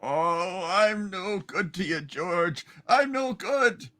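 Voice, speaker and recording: A frantic old uncle in a hammed-up radio play. The voice is pitched way up and piercingly bright, swooping as it wails. He stammers and blames himself like a helpless bumbler. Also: expressive, slow, exaggerated voice. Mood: despairing. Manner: stammering, wailing.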